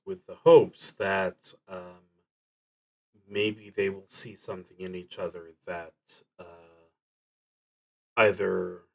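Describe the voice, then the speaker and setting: A man's voice: deep voice, gravelly, rough recording. A man streaming a solo tabletop roleplaying game, thinking aloud in a hesitant, musing, unscripted way. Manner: hesitant, musing, halting delivery.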